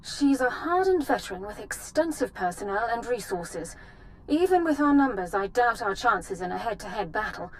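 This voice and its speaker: A woman's voice: a little high, expressive, normal speed, slight background noise, natural voice, rough recording. An actress playing a disciplined female military officer in a video game, reporting in a formal, businesslike way. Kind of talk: video game cutscene acting.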